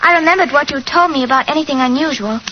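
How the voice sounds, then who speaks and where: A woman's voice: high voice, fast talker, natural voice. An actress playing an earnest, innocent little British girl in a 1940s radio drama. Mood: frightened, earnest. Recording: muffled 1940s radio recording, faint noise.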